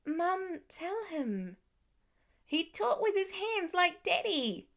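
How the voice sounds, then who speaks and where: Small boy, five, earnest and insistent, high voice, played by a woman narrator, audiobook. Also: sing-song, takes it slowly, fairly bright tone, exaggerated voice. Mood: insistent, upset. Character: stubborn, earnest five-year-old boy, tired and pouty. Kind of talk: audiobook character voice in fan fiction.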